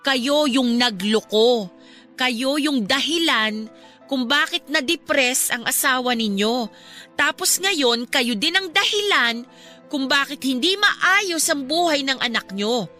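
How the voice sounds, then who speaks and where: A woman's voice: high-pitched, animated, a little slow, bright, forceful tone, soft background music, full character voice. A woman playing an outspoken, caring young friend in an earnest radio drama style. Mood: indignant. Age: young adult. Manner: earnest, emphatic, polite yet confrontational.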